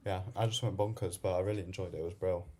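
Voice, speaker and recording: A young Welsh man, a university student, telling a story as a guest in relaxed British slang. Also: low-pitched, quick pace. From Wales. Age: young adult. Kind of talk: casual chat.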